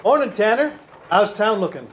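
A man's voice: sits high, big pitch swings, normal speed, fairly bright tone, slight background noise. A man playing a stubborn, plain-spoken small-town fella in a radio play. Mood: easygoing, frank. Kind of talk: radio drama acting.

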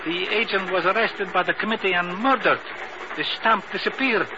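On a dull, hissy old radio recording, a man plays a shady mercenary informant. His voice is high, and he talks in florid, precise, courtly phrases. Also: moderate pace, close to an everyday voice. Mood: smooth, mercenary. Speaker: actor in a radio drama. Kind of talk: 1950s radio acting.

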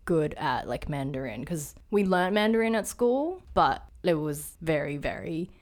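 A young woman with an Australian accent talks through her background as a podcast guest. Her voice sits low, and she wanders through thoughts with plenty of "um" and "like." The delivery is relaxed and conversational. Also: a little slow. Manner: relaxed, trailing off with fillers. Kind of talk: casual interview chat.

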